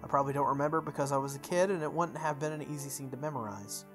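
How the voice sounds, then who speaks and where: A mid-pitched gamer reads visual novel narration aloud. The delivery stumbles and repeats phrases, slipping into casual asides. Music plays clearly behind the voice. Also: very fast. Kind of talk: playthrough read-aloud with offhand asides.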